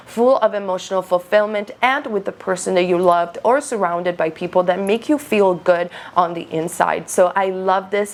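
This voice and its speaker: A woman giving a conversational tarot reading to viewers, emphatic and repeating phrases, her voice recovering after being lost. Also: fairly low pitch. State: recovering from voice loss. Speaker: tarot reader, online host.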